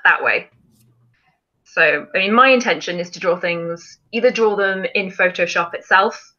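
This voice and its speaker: A woman's voice: on the low side, pushed and bright, muffled recording. A woman hosting a casual live stream, chatting and explaining things in an informal way. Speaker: film challenge live stream host.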